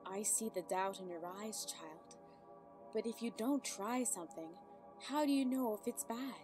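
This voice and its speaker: A woman voicing a wise, regal pony aunt in an animated web series, measured, formal and gently admonishing, with prominent music behind her. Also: on the high side, close to an everyday voice. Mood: gently encouraging.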